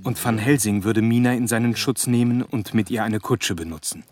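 An actor in a German radio drama, playing a sober diarist who narrates the hunt in measured scripted prose. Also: male voice, on the low side, noisy background, lightly acted.

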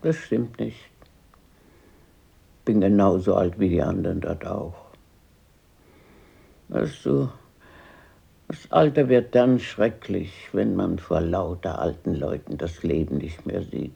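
A woman's voice: rumbling low, expressive, slow, vocal fry, long pauses, dark tone, slightly rough, heavily acted. An actress playing a talkative, bossy but caring old grandmother in a radio drama. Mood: resigned. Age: older. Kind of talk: 1970s German radio play acting.

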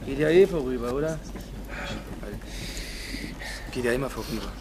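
A man's voice: medium pitch, animated, very noisy, lightly acted. A man playing a bitter, defiant young villager. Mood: defiant, resigned. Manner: sarcastic, halting, emphatic with abrupt swings. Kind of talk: radio drama monologue.

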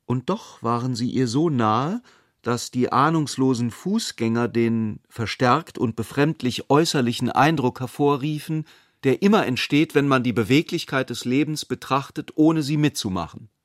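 A man reading literary narration for a radio play with measured, deliberate phrasing. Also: medium pitch, no room sound, studio quality.

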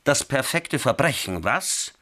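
An actor playing a chatty, wry ex-safecracker in a radio crime play, telling a story. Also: male voice, mid-range voice, very animated, unhurried, pushed and bright. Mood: wry recounting. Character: chatty male ex-safecracker recounting a night escapade, wry.

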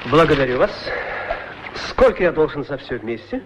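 An actor playing a cheerful, polite young opera singer, effusive and courteous, in a radio play. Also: male voice, a little high, big pitch swings, moderate pace, highs cut off, heavy background noise. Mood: courteous, pleased. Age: young adult. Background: faint music.

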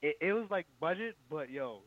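A man's voice: fairly high pitch, animated, moderate pace, no echo, lo-fi sound. A man chatting casually about TV shows in slang, repeating words in bursts.

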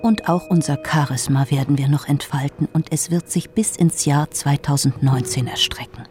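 A proud female parliamentarian's defiant monologue performed by an actress in an audio drama. The voice is dark and mellow, with faint music underneath. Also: deep voice, moderate pace, lightly acted.

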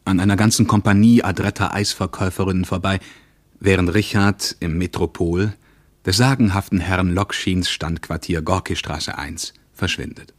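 A man's voice: low voice, no echo. A man playing a reflective traveller, narrating his journey in literary radio play style. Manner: pensive, literary. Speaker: first-person narrator.

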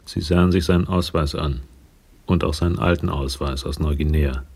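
An actor reading sober, measured narration for a German radio crime drama. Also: male voice, very deep voice, a little mellow, breathy edge, exaggerated voice. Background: music.

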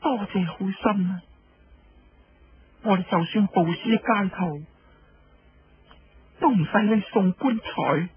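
A distraught young wife, played by a man, with a raised, very husky voice and a Cantonese accent. She pleads slowly and stubbornly, in the manner of a radio story. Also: high-pitched, muffled recording, pitched above the performer's own voice, exaggerated voice. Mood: bitter. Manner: slow, plaintive, pleading.

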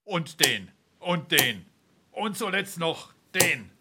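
A man playing a gruff, demanding tavern creditor in a Darmstadt Hessian dialect stage comedy. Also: on the high side, very animated, slow pace, exaggerated voice. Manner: forceful, demanding.

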